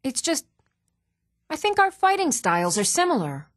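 An actress playing an even-toned, earnest young townswoman in a fantasy video game, with measured delivery. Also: sits high, no room sound, studio quality. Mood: subdued. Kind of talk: video game character voice lines.

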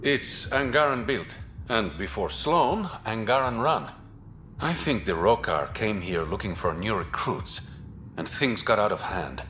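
An actor playing a suave, roguish young smuggler in a video game, smooth, sly and teasing. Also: male voice, on the low side, expressive, a little slow, a bit bright, slight background noise. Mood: serious, intent. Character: suave, roguish adult male smuggler and informant. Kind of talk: video game dialogue acting.

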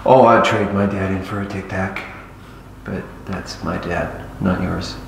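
An actor playing a calm adult investigator who gently coaxes a young witness. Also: male voice, low-pitched, unhurried, gravelly. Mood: coaxing. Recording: echoey, distant, noisy.

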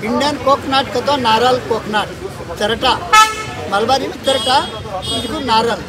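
A man's voice: extremely high pitch, fairly even intonation, a little slow. An older man, a street musician, explaining his homemade instrument in plain, repetitive Hindi on a busy night street. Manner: plain broken Hindi, repeating short instructions. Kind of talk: casual explanation to passers-by. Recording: outdoor street crowd and loud music.

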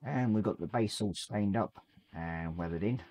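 A man in his forties with a slightly croaky voice, casually chatting on a live stream and reading out viewer messages as he paints. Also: fairly low pitch, normal speed. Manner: reads chat messages aloud, relaxed and rambling. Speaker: livestream host. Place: small hobby room at home.

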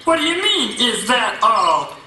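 A performer plays an eager, naive puppet fellow who is stubbornly sure of himself. The voice is bright and animated, with lots of swoops. It sounds distant in a very echoey hall. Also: medium pitch, a little slow, heavily acted. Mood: indignant, puzzled. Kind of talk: children's religious puppet show dialogue. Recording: echoey hall, distant mic, faint music.

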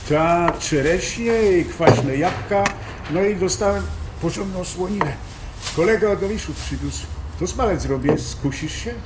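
A man's voice: high-pitched, animated, measured pace. A man playing an easygoing, food-loving ageing musician, chatty and folksy, in an audio drama. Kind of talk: natural audio drama dialogue. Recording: echoey room with background noise.

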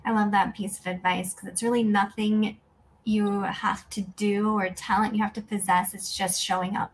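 A woman's voice: mid-range voice, level tone, moderate pace, slight background noise, poor audio. A woman in her thirties hosting a podcast interview in a friendly, attentive, polite way. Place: living room at home, indoors, video call.

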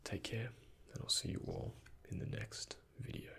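A young man speaking in a breathy, hushed ASMR whisper, gently and unhurriedly, close to the microphone as in a doctor roleplay. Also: normal speed. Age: young adult. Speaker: ASMR creator playing a doctor. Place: indoors, close before a dark backdrop.

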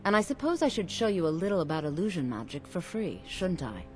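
Maga vampira altezzosa, voce bassa. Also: female voice, big pitch swings, fast, a little mellow. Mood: smugly courteous. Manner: haughty, refined, disdainful. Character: haughty female vampire court wizard in fantasy game. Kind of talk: scripted video game character dialogue.

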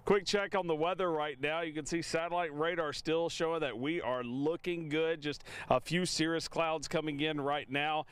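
A male TV weather presenter walks through the temperatures and clouds in a relaxed, conversational way. His voice is smooth and resonant, pitched slightly high. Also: moderate pace, hardly any pauses. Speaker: TV meteorologist. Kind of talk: weather forecast.

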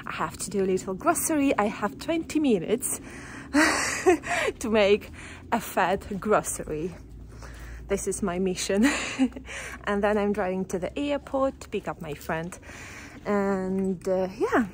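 A young woman vlogging casually while walking down a quiet village street, chatty and often laughing, filmed on a phone held at arm's length. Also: mid-range voice, animated, slow pace, slight background noise. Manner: chatty, breaks into frequent laughs. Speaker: renovation vlogger.

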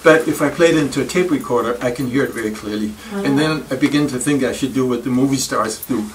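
An older man with a Danish accent gives a reflective talk in a wood-paneled library room. His tone is thoughtful and wry, with pauses and self-deprecating asides. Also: mid-range voice. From Denmark. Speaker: speaker giving a library talk. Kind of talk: reflective public lecture. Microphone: far camera. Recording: distant room recording with faint noise.

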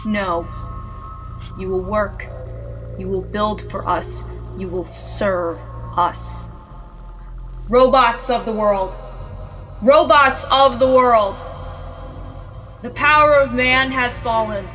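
A cold robot leader of a rebellion, acted by a woman, declaims in radio drama style. The delivery is slow and measured, with music clearly playing behind it. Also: medium pitch, natural voice. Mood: triumphant, commanding. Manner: deliberate, declamatory.

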